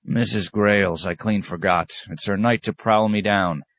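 A man's voice: on the low side, fairly even intonation, moderate pace, a bit bright, lightly acted. A man voicing a middle-aged abbot with wry, resigned humor.

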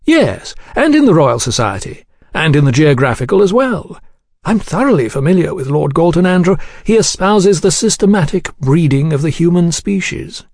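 A man voicing a suave, coolly menacing English gentleman for an audiobook, genteel and elaborately courteous, with an insinuating way of talking. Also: a little high, sing-song, normal speed, no echo, clean studio sound, voice pushed up. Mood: smooth, probing. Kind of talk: audiobook character dialogue.

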